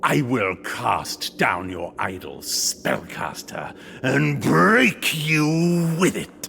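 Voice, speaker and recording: A man's voice: mid-range voice, expressive, very slow, creaky, noisy background, exaggerated voice. A man voicing a vengeful undead knight spirit in a game, arrogant, menacing and grandiose in delivery. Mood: cold menace. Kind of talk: video game cutscene acting.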